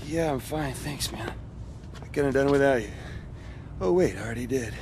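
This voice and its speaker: A man's voice: mid-range voice, brisk, background noise, close to an everyday voice. An actor playing a cocky, sarcastic young American man with superpowers in a video game cutscene, brash and slangy. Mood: casual, smug. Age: young adult.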